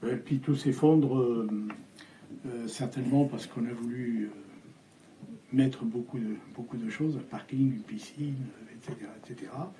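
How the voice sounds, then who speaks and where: Older male interviewee, Spanish native, hesitant delivery, very dark timbre, roomy echo. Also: mid-range voice, steady tone, slight background noise. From Algeria. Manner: hesitant, halting with many fillers and restarts. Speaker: architect guest.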